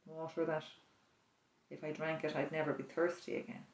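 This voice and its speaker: A prayer leader guiding listeners through an imaginative prayer, speaking slowly and softly, with reflective pauses. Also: mid-range voice, level tone. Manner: slow, soft-spoken, reflective, with pauses. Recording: roomy echo, distant mic.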